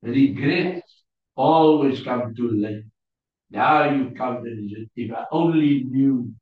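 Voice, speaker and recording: Afrikaans-accented male preacher, unhurried and hesitant, fishing for English words; distant mic, big echo. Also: medium pitch. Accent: South African Afrikaans. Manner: halting, searching for English words with many fillers. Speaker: preacher teaching a Bible class. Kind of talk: Bible class teaching. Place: church hall. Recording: distant, roomy, dull lo-fi sound.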